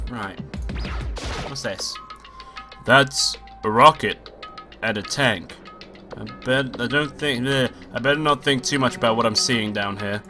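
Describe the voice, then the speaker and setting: Brief: male let's-play host, nasal from a cold, loud game music behind, offhand and profane between lines. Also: mid-range voice. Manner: swearing, offhand asides between read lines. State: sick and nasal. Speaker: let's play host reading a visual novel aloud. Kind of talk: casual let's play commentary and read-aloud.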